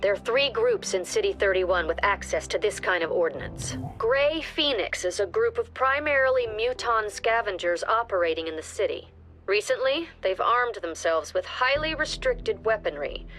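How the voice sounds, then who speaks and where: A woman playing a stern, composed director of a security agency, giving formal, measured briefings in a video game. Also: medium pitch, animated, fairly bright tone, natural voice, poor audio. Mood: grave, resolute. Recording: music behind the voice.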